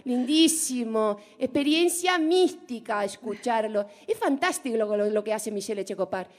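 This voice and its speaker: Woman voicing a nosy chatty porteña, Rioplatense accent, high and swooping, teasing comic monologue. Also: expressive, natural voice. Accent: Rioplatense Spanish put on. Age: 40s. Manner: chatty, teasing, drawn-out vowels.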